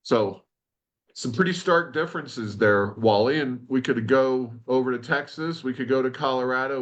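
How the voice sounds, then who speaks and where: A man's voice: mid-range voice, a bit bright, dull audio. A man co-hosting a conversational policy talk show, explaining points at length with frequent asides. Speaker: podcast host.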